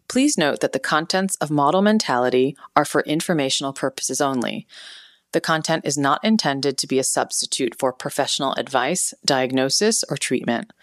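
A woman psychiatrist reading a scripted podcast introduction and disclaimer in a measured, formal way. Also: very deep voice.

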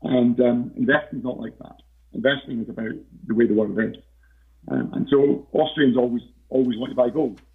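A man as a podcast guest in casual interview talk, hesitant with many fillers and restarts, his voice croaky. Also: medium pitch, steady tone, normal speed. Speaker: podcast guest, investment researcher. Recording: tinny phone-like line.

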